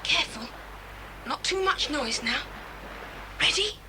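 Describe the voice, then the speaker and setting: A woman playing a resolute, sensible boy who leads the others in a children's adventure drama, brisk and commanding. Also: high voice, animated, noisy background.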